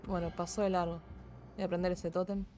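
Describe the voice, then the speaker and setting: Argentine woman game streamer, lowish voice, blunt slangy swearing, casual chat over faint ambient noise. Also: flat delivery, a little fast, mellow. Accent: Argentine Spanish. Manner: blunt, slangy and foul-mouthed. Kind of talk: casual chat while gaming.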